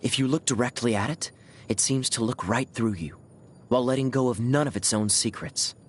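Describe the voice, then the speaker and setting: A man playing a suave, teasing cavalry captain in a video game, with a smooth, theatrical drawl. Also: medium pitch, fairly quick, slight background noise, natural voice. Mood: sly, intrigued. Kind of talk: video game character voice lines. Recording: studio voice over background music.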